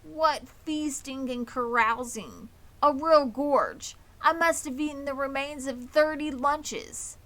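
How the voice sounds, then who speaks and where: A woman voicing a gluttonous, boastful, bloated male rat in a storybook, with a husky voice. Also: high-pitched, slow, pushed and bright, voice pushed up, full character voice. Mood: gloating, exhausted.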